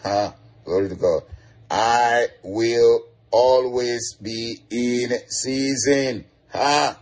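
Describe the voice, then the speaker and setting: A man with a Nigerian accent preaching an online sermon, laughing softly between lines and repeating declarations. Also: mid-range voice, extremely slow. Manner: chuckles often, repeats declarations.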